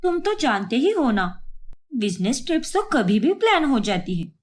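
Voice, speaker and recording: A woman voicing a scheming, sharp-tongued young wife in a cartoon story, speaking in a theatrical, defensive way. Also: high-pitched, sing-song, moderate pace. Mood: smooth-talking.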